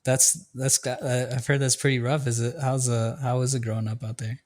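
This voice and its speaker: A man's voice: medium pitch, a little fast. Easygoing young man hosting a podcast. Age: young adult. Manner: relaxed, friendly questions with filler "uh". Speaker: podcast host. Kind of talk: casual interview conversation.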